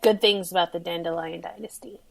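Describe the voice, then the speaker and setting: A woman's voice: on the low side, fairly even intonation, fairly quick, creaky. Dry-humored woman podcast guest. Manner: dry, self-deprecating humor. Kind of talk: casual chat.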